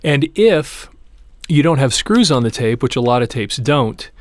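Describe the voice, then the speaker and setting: A man's voice: medium pitch, big pitch swings, a little slow. A man presenting a hands-on repair tutorial, explaining each step in an informal, plain-spoken way. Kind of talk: casual hands-on how-to demonstration.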